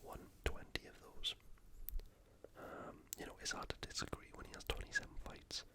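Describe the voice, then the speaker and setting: An ASMR host, speaking entirely in a breathy whisper in a casual, conversational commentary style. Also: moderate pace. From United Kingdom. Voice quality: whispered, unvoiced. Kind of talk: ASMR fight preview commentary.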